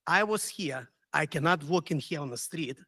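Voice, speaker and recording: A man from Ukraine with a Ukrainian accent, speaking halting non-native English as a resident giving an earnest public comment. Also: fairly high pitch, expressive, pushed and bright, no room sound, clean studio sound.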